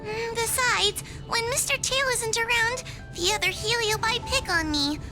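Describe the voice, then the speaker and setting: A woman's voice: extremely high pitch, a little slow, over loud music. A woman voicing a timid, earnest young girl in a video game, meek, tremulous and hesitant. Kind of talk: video game character acting.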